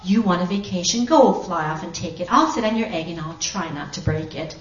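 A woman voicing a huge, gentle male elephant from a storybook, patient and earnest, speaking in emphatic rhyme. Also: on the low side, brisk, echoey room, far from the mic, lo-fi sound, slight background noise, natural voice. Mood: earnest, resolute. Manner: emphatic, rhyming declarations.

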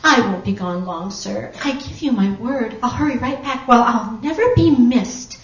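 A woman's voice: a little high, moderate pace, far from the mic, dull audio, natural voice. Woman as a lazy, wheedling storybook mother bird, roomy. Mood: coaxing, pleading. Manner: coaxing, sugary pleading.

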